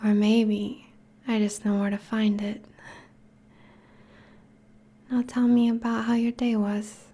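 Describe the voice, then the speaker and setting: A woman playing a teasing, affectionate vampire girlfriend in an intimate ASMR roleplay, speaking softly in a breathy, whispery voice. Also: fairly high pitch, little pitch movement, takes it slowly, pauses often. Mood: affectionate, playful. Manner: soft, teasing, languid.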